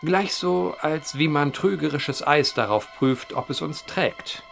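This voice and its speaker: An actor playing an earnest, methodical man who investigates vanishings, speaking formally in old-fashioned, measured phrases in a horror audio drama. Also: on the high side, animated, creaky, fairly bright tone, faint music behind, heavily acted. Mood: earnest, deliberate.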